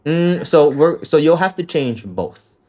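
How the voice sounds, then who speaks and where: A man's voice: fairly high pitch, sing-song, a little slow, mellow. A man coaching a student through a trading setup on a call, talking loosely with lots of fillers and the odd swear. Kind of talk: casual walkthrough on a call.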